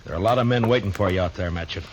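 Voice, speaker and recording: An actor playing a stern, world-weary frontier marshal in a 1950s western radio drama, speaking tersely and gravely. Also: male voice, low voice, very fast, a little hiss or hum, close to an everyday voice. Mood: firm warning. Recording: dull old radio recording.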